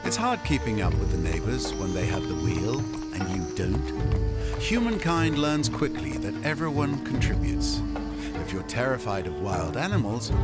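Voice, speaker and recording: Male game narrator. Wry, theatrical storyteller, sweeping intonation, mid-pitched, prominent score underneath. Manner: theatrical, wry, storyteller-like delivery with deliberate phrasing. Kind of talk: scripted cinematic narration. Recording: voice over prominent music score.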